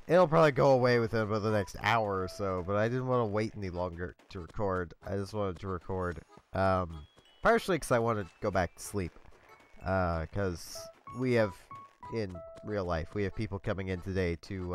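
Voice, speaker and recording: A man's voice: low voice, moderate pace, soft background music. A congested man hosting a let's play from home, rambling through casual commentary with lots of ums and small laughs.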